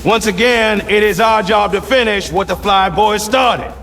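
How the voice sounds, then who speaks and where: A man's voice: high-pitched, a little slow, gravelly, a bit bright, exaggerated voice. A man acting a gung-ho, bellicose Marine sergeant in a video game, barking with swagger at his troops. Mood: rousing, commanding. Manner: barking, swaggering drill-sergeant delivery with emphatic punches. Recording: game cinematic with music behind. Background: music.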